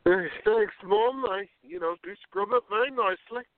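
A man's voice: high-pitched, normal speed, no echo, phone-quality sound, lightly acted. An actor playing an awkward, bemused younger man in a radio play, hesitant and incredulous.

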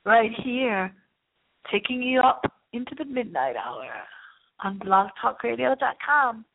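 A woman's voice: fairly high pitch, animated, unhurried. A woman hosting a late-night spooky internet radio show, chatting live in a rambling, campy, theatrical way. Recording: tinny, phone-like internet radio line.

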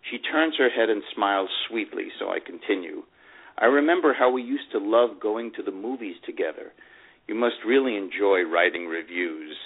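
A man's voice: on the low side, normal speed. A man playing a proud, lovelorn older divorced man, reading his memoir in an earnest storytelling manner. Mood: tender. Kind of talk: dramatic first-person memoir reading. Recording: phone-like narrow radio sound.

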